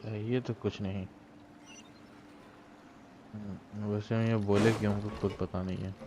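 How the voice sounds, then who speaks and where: A man's voice: on the low side, level tone, very fast. A man with an Indian accent giving casual, informal live gaming commentary in short asides. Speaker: game live streamer. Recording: noisy live stream microphone.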